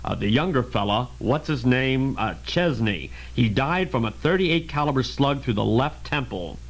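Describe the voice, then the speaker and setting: A man playing a weary, hard-boiled police lieutenant in an amateur radio drama, clipped and deadpan. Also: medium pitch, normal speed. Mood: matter-of-fact, probing. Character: weary, matter-of-fact male police lieutenant investigating deaths.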